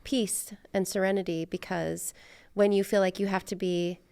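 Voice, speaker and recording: Middle-aged American woman with creaky vocal fry. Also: mid-range voice, fairly even intonation, moderate pace, darkish tone. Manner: informal, thinking aloud. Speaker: podcast host; therapist. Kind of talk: casual reflective conversation.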